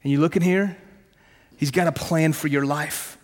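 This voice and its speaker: Man, 30s, Farsi first language: brisk, chatty preacher, voice a touch high for a man, long hall echo. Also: steady tone. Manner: conversational and punchy, asks questions, jokes at himself. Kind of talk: sermon with personal testimony.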